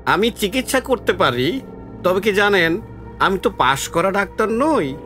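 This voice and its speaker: An emphatic man of the village, acted by a man, speaks in a high, bright voice. It is radio-style drama with faint music underneath. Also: big pitch swings, a little slow, exaggerated voice. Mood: worried, protesting. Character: village men in tense dispute, earnest or taunting. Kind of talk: Bengali audio drama acting.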